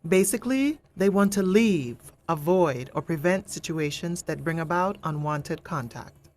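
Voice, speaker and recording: Low-voiced woman narrating a drama. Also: animated, a little slow, darkish tone.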